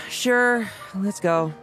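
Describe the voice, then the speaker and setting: A man's voice: high-pitched, extremely slow, over music, poor audio. A man voicing a wry, restless young knight in a video game, with a teasing manner.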